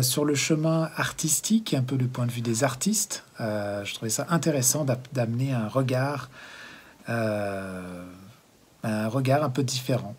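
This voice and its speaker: A man hosting a community television program, talking loosely and haltingly with frequent pauses and restarts. His pitch sits in a middle range, and a faint noise runs underneath. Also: darkish tone. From France, Haute-Savoie. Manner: hesitant, many fillers and restarts. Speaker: host. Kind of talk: casual show introduction.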